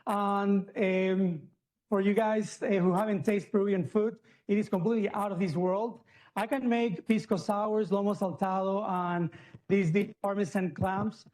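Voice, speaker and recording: A man's voice: high-pitched, steady tone, measured pace, a little mellow, low-quality recording. A middle-aged man from Peru, a surgeon giving a chatty medical lecture, full of fillers and restarts.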